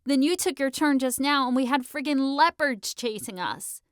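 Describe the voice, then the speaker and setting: A woman playing a sharp, argumentative sixteen-year-old girl in an audiobook, speaking in a slangy, emphatic, insistent way. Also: high voice, expressive, moderate pace, no echo, studio quality. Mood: explaining.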